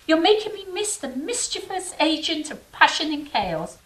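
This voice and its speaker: A woman's voice: high-pitched, sing-song, normal speed, a bit bright. A woman playing a romantic, optimistic angel in an audio drama, effusive, playful and affectionate. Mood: warm, encouraging.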